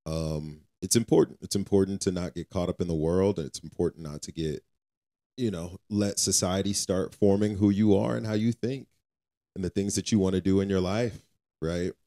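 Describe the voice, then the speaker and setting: A man's voice: sits low, normal speed, dark tone. A man co-hosting a faith podcast, talking casually in an earnest, exhorting way and repeating phrases for emphasis. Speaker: podcast co-host.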